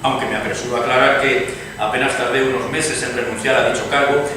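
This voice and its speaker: A man's voice: mid-range voice, steady tone, close to an everyday voice. Man playing courteous statesman, echoing hall. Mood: reflective, courteous. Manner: courteous, measured, formal. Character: earnest, reflective liberal Spanish statesman. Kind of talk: dramatized radio theatre reading. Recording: reverberant noisy hall, far from mic.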